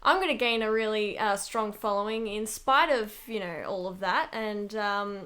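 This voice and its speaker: A woman's voice: medium pitch, normal speed, fairly bright tone. A woman in a casual interview, talking as an amateur voice actress in a rambling, self-deprecating way.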